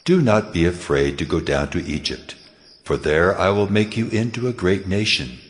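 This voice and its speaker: Man as God, very deep male voice, solemn, commanding, reassuring, measured, audio Bible drama. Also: natural voice. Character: God speaking in a night vision, solemn and reassuring.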